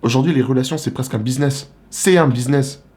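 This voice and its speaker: A young man from the Republic of the Congo giving a casual, animated, slangy monologue to the camera as a vlogger. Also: mid-range voice, fast. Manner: animated, slangy, rhetorical questions.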